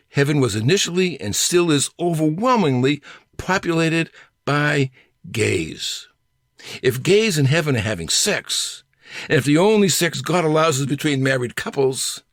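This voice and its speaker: A man reading his own humorous essay in a deliberate, deadpan manner. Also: a little high, no echo, clean studio sound.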